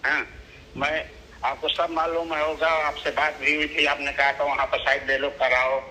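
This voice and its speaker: A man's voice: on the high side, flat delivery, moderate pace, sharp tone. A man explaining his side deferentially over a phone line, often addressing the listener as sir. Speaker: caller, former associate. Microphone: phone call recording. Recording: noisy, tinny telephone line.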